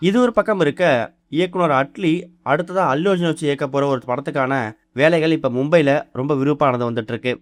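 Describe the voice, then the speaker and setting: Man, rapid news narration, entertainment presenter, mid-pitched, dry close sound. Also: no room sound. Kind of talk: fast scripted news narration.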